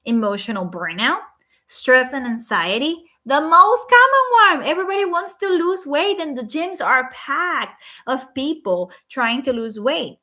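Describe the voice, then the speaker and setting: A woman's voice: high-pitched, lively intonation, unhurried, sharp tone. A woman from Puerto Rico with a Puerto Rican Spanish accent, Spanish her first language, hosting a casual live stream from home at night, earnest and chatty with frequent "right?" and "um". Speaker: life coach hosting a livestream.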